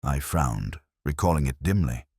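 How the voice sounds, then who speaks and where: A man narrating a scripted mystery story in a measured, dramatic manner. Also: rumbling low, expressive, darkish tone, husky, no room sound, clean studio sound. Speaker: audiobook narrator.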